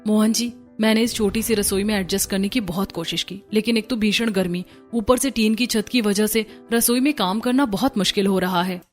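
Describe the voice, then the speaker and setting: A woman's voice: mid-range voice, brisk, few pauses, faint music behind, close to an everyday voice. A woman playing a patient, polite young daughter-in-law in a cartoon family drama. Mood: weary, troubled. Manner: earnest, polite.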